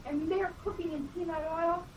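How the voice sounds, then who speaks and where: A woman's voice: extremely high pitch, fairly even intonation, normal speed. A woman with a childlike voice chatting casually, curious and full of interjections. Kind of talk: casual chat. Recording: distant mic with clear background noise.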